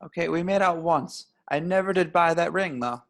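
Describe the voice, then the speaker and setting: A sullen young stoner, played by a man in a screenplay table read. He is sardonic, high for a man, and full of creak. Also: moderate pace, lightly acted. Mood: dry.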